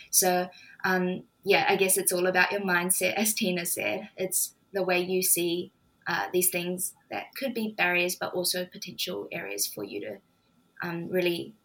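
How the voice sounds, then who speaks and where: A young woman student talks through her thoughts in a slow, considered way, often pausing on ums. Her voice sits a little low and stays level, with little rise and fall. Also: fairly bright tone, rough recording. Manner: thoughtful, unhurried, frequent ums. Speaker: law student guest. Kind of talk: reflective podcast conversation.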